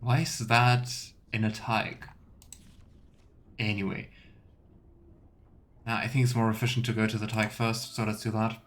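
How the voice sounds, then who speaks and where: A man's voice: fairly low pitch, flat delivery, unhurried, long pauses, fairly bright tone. A man streaming a video game, giving casual commentary and thinking aloud. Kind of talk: casual let's play commentary.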